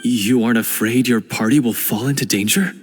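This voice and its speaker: A man's voice: medium pitch, fairly quick, slight background noise, lightly acted. A man voicing a remorseful young male hunter in a game cutscene, speaking in an earnest, hesitant, self-reproaching way and stammering at times. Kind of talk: video game cutscene voice acting.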